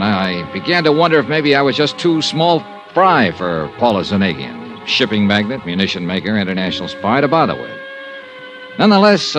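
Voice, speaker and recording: An actor playing a tough, wry insurance investigator, narrating and talking in a 1950s radio drama with crisp, brisk delivery. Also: male voice, mid-range voice, close to an everyday voice. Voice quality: crisp, brisk, dry wit.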